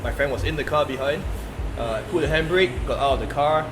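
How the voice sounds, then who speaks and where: A man in his thirties with a Singaporean English accent, a pro wrestler chatting as a guest, telling animated stories with repeated words and frequent laughs. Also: fairly high pitch, fast, over music, rough recording. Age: 30s. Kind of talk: casual interview chat.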